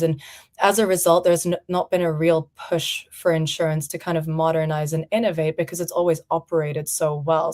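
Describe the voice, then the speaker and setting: Low flat-ish voice, woman from portugal, thirties, chatty and reflective, lots of "you know". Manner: reflective, conversational, frequent "you know" fillers. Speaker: founder and CEO, guest. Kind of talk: casual interview conversation.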